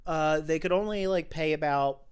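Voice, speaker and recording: Smooth, clear-voiced podcast host. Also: medium pitch, normal speed. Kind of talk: casual film discussion.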